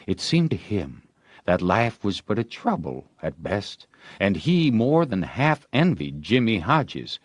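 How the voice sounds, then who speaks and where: A man reads literary fiction aloud in a low-pitched voice, taking his time over each phrase. His delivery is theatrical and expressive, with wide swings of intonation. He sounds like a dramatic audiobook storyteller. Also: no room sound, studio quality. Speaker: audiobook narrator.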